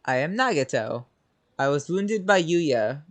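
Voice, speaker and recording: A man's voice: a little high, sing-song, a little slow, a bit bright. Casual man doing gameplay commentary. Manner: offhand, informal reactions. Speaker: video game streamer. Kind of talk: casual let's play commentary, reading on-screen text aloud.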